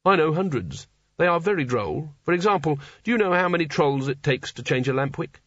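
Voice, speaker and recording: A man's voice: a little high, lively intonation, fairly quick, fairly bright tone, dry recording, highs cut off. A man voicing a hapless, reluctant wizard in an audiobook, with a weary and slightly pained manner. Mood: chatty. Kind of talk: audiobook character dialogue.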